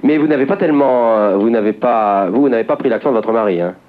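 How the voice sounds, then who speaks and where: A man hosting a TV game show, chatting with guests in an animated way and laughing often. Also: medium pitch, fast talker. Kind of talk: lively TV game show chat. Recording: dull broadcast audio with clear noise.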